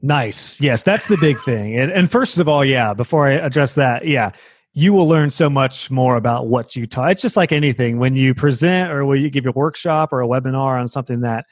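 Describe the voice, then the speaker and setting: A man's voice: mid-range voice, a little fast, no echo, studio quality. A man who teaches web design courses, talking casually in a podcast conversation and explaining at length with fillers and restarts.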